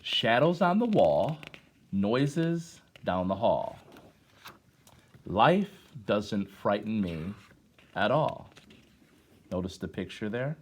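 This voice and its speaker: A man's voice: lowish voice, sing-song, takes it slowly, pauses often. A middle-aged American man reading and chatting to children in a conversational way, with questions and encouragement, like a former teacher at story time.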